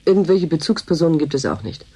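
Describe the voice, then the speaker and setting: A woman's voice: low-pitched, sing-song, fast talker, warm tone, breathy edge, exaggerated voice. An actress playing a detached, bureaucratic female doctor in a German radio drama, matter-of-fact and probing. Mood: casually probing.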